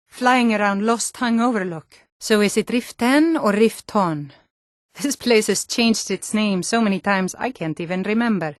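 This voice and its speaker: An actress playing stern, earnest Nord warrior women and town guards in a fantasy video game, speaking in a firm, measured way. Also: female voice, medium pitch, no echo, clean studio sound, lightly acted. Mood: disgruntled. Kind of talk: video game character dialogue.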